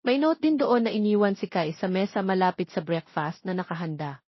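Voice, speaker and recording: A woman's voice: medium pitch, a little fast, breathy edge, no echo, lo-fi sound. A woman with a Filipino accent reading a scripted romance story as narrator.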